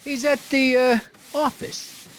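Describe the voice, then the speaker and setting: A man's voice: very high-pitched, level tone, takes it slowly, a bit bright, a little hiss or hum, full character voice. An actor playing a brash, bullying American gangster boss in a video game, with sarcastic, domineering tough-guy talk and old-time slang. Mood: brusque, evasive.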